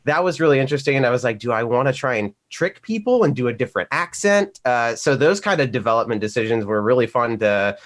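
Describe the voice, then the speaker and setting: A man with a mid-pitched voice chats casually as a voice actor on an online panel. He tells his stories in an animated way, slipping into little acted-out asides. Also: quick pace, hardly any pauses. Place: remote video call.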